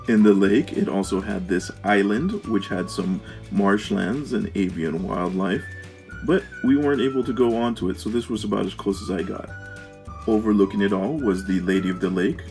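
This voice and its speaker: A man's voice: lowish voice, fairly even intonation, normal speed, gravelly, darkish tone, faint music behind. A man narrating a travel vlog as its host, reading from a script.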